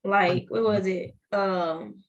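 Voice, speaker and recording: A woman's voice: medium pitch, steady tone, slow pace, muffled recording. A young woman with a Southern US accent, a podcast guest talking animatedly in casual chat and often restarting her phrases.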